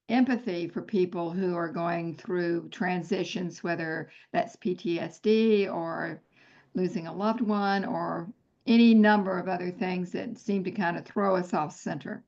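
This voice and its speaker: A woman's voice: on the low side, fairly even intonation, unhurried, gravelly, darkish tone. A woman hosting an interview, asking supportive, rambling questions with fillers. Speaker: interview host. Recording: dull lo-fi sound.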